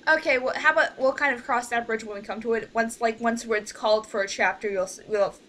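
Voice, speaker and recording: A woman leading an online meeting as producer, talking casually in a halting, rambling, informal way. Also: a little high, fairly even intonation, fairly bright tone, slight background noise. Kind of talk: casual group meeting talk. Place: online group voice call.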